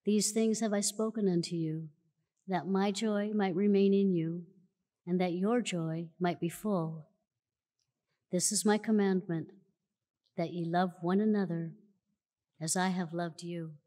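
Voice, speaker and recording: A woman reading scripture aloud at a church lectern in a measured, solemn way, recorded in a large church auditorium. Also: lowish voice, steady tone, dark tone. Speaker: church reader. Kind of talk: slow formal scripture reading. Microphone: lectern mic from a far camera. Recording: long church hall reverb.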